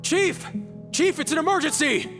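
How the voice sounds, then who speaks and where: Panicky tribesman in a game, frantic n halting, creaky high voice, big reverb. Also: over music. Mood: panicked. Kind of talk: video game voice acting. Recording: long reverberant hall echo.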